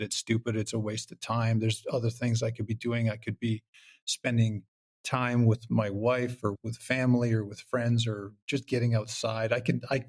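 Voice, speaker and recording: A man's voice: mid-range voice, darkish tone. A man talking casually as an interview guest, wry and rambling, often acting out little quotes. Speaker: podcast guest, comedy show creator.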